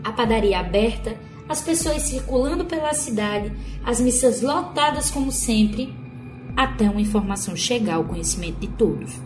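Casting: female actor as clown storyteller for radio play, highish animated voice, folksy, clear music underneath. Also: normal speed, close to an everyday voice. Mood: grand, playful. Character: theatrical clown narrator, folksy and gossipy.

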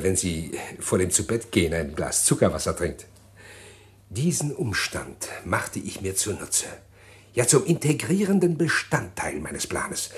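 A man acting a scheming, respectable middle-class husband in a German radio crime drama, speaking precisely and insistently in a husky voice. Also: low voice. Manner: precise, insistent, well-spoken.

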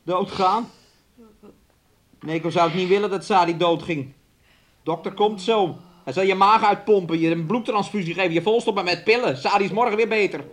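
A man's voice: high-pitched, normal speed, natural voice. An actor playing a menacing, mocking young man in a radio play, taunting and wheedling as he speaks of himself in the third person. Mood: mock-solicitous, manic. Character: menacing, mocking young man bullying his sick fiancée. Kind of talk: Dutch radio play acting.

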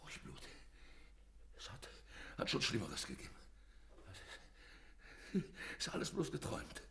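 A man's voice: medium pitch, huge pitch swings, unhurried, gravelly, bright, forceful tone, exaggerated voice. An actor in a radio play as a guilty man in panic, stammering and muttering to himself in agitation. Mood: frantic self-soothing. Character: panicked guilty man alone at night with a corpse. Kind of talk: German radio play acting.

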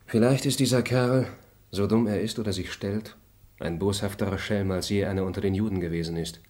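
A composed, fair-minded gentleman traveler, played by an actor in a radio play. His voice sits low and his speech is measured and articulate. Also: fairly even intonation, lightly acted. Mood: reflective. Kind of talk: German radio play acting.

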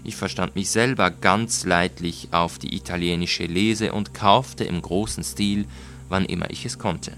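A man reading a literary first-person story in a measured, deliberate way with dramatic emphasis. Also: low voice, steady tone, fairly bright tone. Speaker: audiobook reader.

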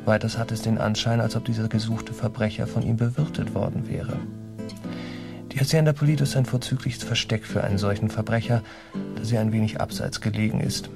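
A creaky-voiced army captain in a German radio drama, vengeful and scheming. He speaks with stiff formality and a menacing, self-important air, over clearly audible music. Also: lowish voice, fairly even intonation, brisk. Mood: stiff, aggrieved. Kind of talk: German radio play acting.

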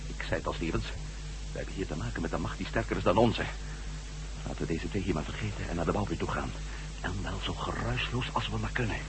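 An actor playing a commanding, urgent ex-astronaut hero in a Dutch radio drama, with terse, grim delivery. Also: male voice, low-pitched, quick pace, lightly acted. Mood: hushed, tense. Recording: noisy old radio drama recording.

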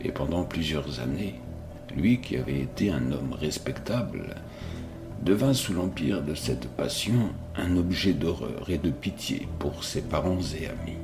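Very deep, gravelly male audiobook reader. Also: animated. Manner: slow, deliberate reading. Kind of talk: scripted literary narration. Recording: reading over background music.

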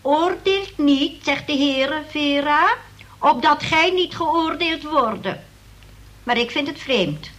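An actress playing a prim, nosy, churchgoing neighbour in a Dutch radio play, unctuous and full of pious insinuation. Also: female voice, sits high, animated, slow, a bit bright, exaggerated voice, poor audio. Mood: disapproving.

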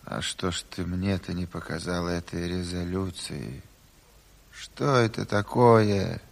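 A man's voice: deep voice, takes it slowly, gravelly, heavily acted. An actor playing an exhausted, sick, stubborn committee chairman in a radio drama, curt and grumbling. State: weary, sick. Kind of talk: theatrical radio drama acting. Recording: 1970s radio play.

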